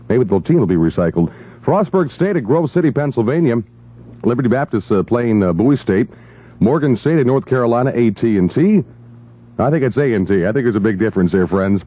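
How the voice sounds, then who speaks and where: Lively wisecracking 1970s radio DJ man. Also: medium pitch, a little fast, breathy edge. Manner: wisecracking, jokey asides. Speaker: overnight radio DJ. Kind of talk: 1970s top-40 radio disc jockey patter. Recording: dull 1970s radio aircheck over loud music. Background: pop records and jingles.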